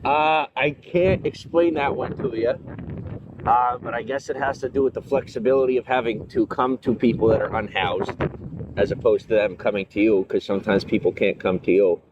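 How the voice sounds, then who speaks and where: A man's voice: medium pitch, steady tone, normal speed, muffled recording. A man chatting casually to a livestream audience in a rambling, filler-heavy way, recorded outdoors on a noisy street with passing traffic. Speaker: streamer.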